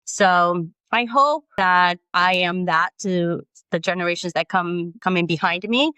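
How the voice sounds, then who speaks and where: A woman's voice: fairly low pitch, a little slow, sharp tone, clean tone, no echo. A woman from Brazil, a guest in an interview, speaking earnestly and reflectively, often adding "right?" and "you know.". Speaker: guest, nonprofit founder. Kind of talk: conversational interview.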